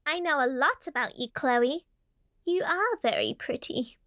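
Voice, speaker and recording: A woman's voice: sits high, animated, measured pace, a bit bright. A woman playing a vain, childlike talking doll in a horror radio drama, sweetly coaxing and smug. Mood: smug, playful.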